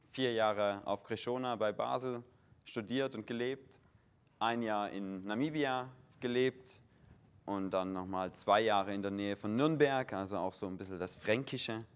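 A young man from Saxony giving a sermon in a full church hall in standard German with a faint Saxon tinge, slow and measured, stressing single words. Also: mid-range voice. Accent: standard German with a slight Saxon tinge. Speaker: guest preacher.

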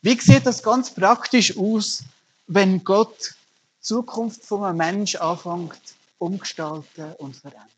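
A man's voice: sits high, husky, poor audio. A middle-aged man, preaching a sermon as a pastor and telling stories earnestly with emphatic rises. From Germany.

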